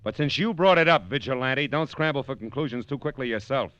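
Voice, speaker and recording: An actor playing a wisecracking, sardonic American private detective in an old-time radio drama. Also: male voice, medium pitch, lively intonation, a little fast, a bit bright, no echo, close to an everyday voice. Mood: brisk, insistent. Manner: dry, sardonic, slangy. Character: wisecracking, hard-boiled male private detective. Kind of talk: 1940s radio detective drama acting with first-person narration. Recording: dull, lo-fi vintage radio recording.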